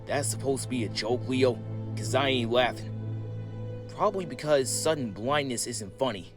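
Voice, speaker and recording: A man voicing irritable, sarcastic turtle brothers in a forceful comic dub over music. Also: medium pitch, normal speed, pitched above the performer's own voice, lightly acted. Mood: sarcastic, challenging. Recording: loud music behind voice.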